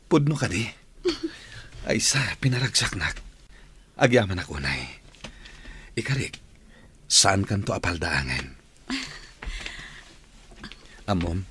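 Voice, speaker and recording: A man's voice: fairly low pitch, big pitch swings, unhurried, pauses often, a bit bright, slight background noise. A man with an Ilocano accent playing a cheerful engineer boss and eager suitor in a village radio comedy drama. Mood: pleased.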